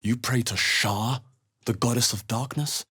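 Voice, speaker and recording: An actor voicing a thoughtful, wary male adventurer in a fantasy video game, with a measured, musing delivery. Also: mid-range voice, steady tone, bright, forceful tone, slightly rough, no room sound, clean studio sound. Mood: thoughtful, guarded. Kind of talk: video game character voice lines.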